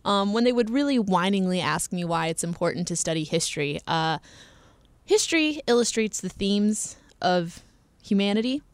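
A woman's voice: mid-range voice, lively intonation, moderate pace, dry recording. A young adult woman hosting a podcast, talking in a chatty, playful way and laughing often. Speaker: podcast host. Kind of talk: casual podcast chat.

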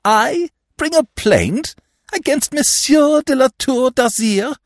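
A man reading aloud and voicing a testy, blustering elderly nobleman who grows shrill when crossed. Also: wildly swooping pitch, a little slow, dry recording, clean studio sound, exaggerated voice. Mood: horrified. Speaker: provincial lord and godfather.

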